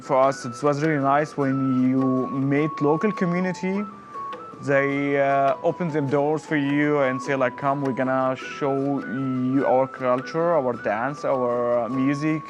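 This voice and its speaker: A man with a mid-range voice recalls playing with locals, his sentences simple and a little broken. Music plays clearly behind him. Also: mid-range voice, steady tone, measured pace. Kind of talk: festival interview.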